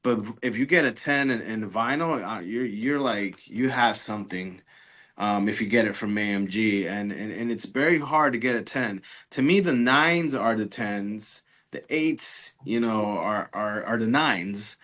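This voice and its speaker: A man's voice: fairly low pitch, unhurried, fairly bright tone. A man around his thirties or forties talking casually as an interview guest, hesitantly, often repeating words. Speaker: guest, music media grader.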